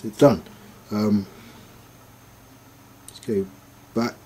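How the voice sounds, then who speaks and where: A man's voice: lowish voice, fairly even intonation, extremely slow, dark tone. A man giving a casual, unscripted product demonstration in a hesitant, mumbling way. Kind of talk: casual unscripted tutorial narration. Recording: faint background noise.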